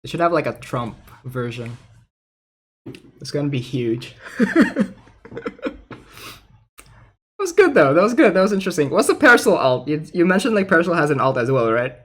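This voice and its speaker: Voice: medium pitch, sing-song, moderate pace, pauses often, husky. A streamer giving casual, conversational commentary on a game during a livestream. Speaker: streamer, host.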